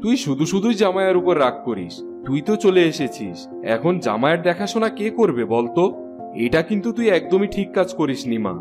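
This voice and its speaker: Older cartoon father: fairly high, animated voice, firm lecturing, music behind. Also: brisk. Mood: chiding, persuasive. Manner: chiding, lecturing. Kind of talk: Bengali cartoon voice acting.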